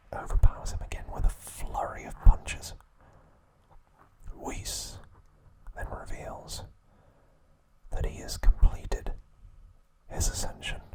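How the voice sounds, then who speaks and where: Male ASMR host, soft unvoiced whisper, unhurried delivery, dry playful asides. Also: low-quality recording. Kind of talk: whispered ASMR recap narration.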